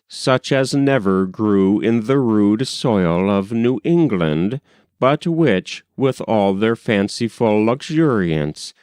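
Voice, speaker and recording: Deep-voiced man narrating with lively intonation. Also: smooth, no room sound, clean studio sound. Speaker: audiobook reader. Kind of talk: scripted literary narration.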